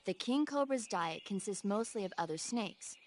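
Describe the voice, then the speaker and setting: An actress playing an earnest, knowledgeable support advisor in a video game, explaining things informatively. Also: female voice, mid-range voice, lively intonation, moderate pace, a little hiss or hum, natural voice. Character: knowledgeable female radio support advisor, earnest, easily exasperated.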